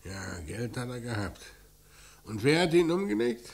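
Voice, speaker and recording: An actor playing a stern, dry police inspector with a curt, sardonic way of questioning, in a German radio crime drama. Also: male voice, mid-range voice, big pitch swings, a little slow. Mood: brisk, businesslike. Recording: noisy old radio drama recording.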